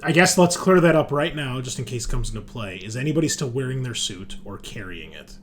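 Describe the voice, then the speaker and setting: A man running a tabletop roleplaying game as game master, narrating casually and haltingly as he thinks aloud. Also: medium pitch, animated, a little hiss or hum.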